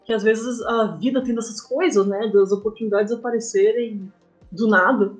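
A Brazilian woman speaking as an interview guest, explaining her creative work casually and thoughtfully in short, halting phrases. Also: a little high, fairly even intonation. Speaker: interview guest, comic artist and game writer. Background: background music.

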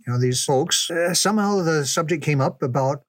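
An older man reminiscing casually in a folksy, unhurried, conversational way, as a storyteller. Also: on the high side, no echo. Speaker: storyteller recalling ministry memories.

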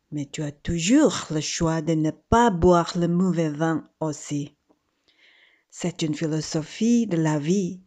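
A woman giving a prepared personal message in a deliberate, careful manner. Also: fairly low pitch, dark tone. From Australia.

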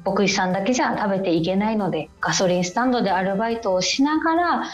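A woman's voice: mid-range voice, lively intonation, very fast, soft background music. A woman speaking as a radio interview guest, explaining things politely in a conversational, somewhat hesitant way. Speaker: guest, film publicist.

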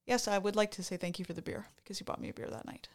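A woman from Canada co-hosting a podcast, talking excitedly in casual chat and often interrupting herself. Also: medium pitch, very fast. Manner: excited, chatty, self-interrupting. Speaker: podcast co-host.

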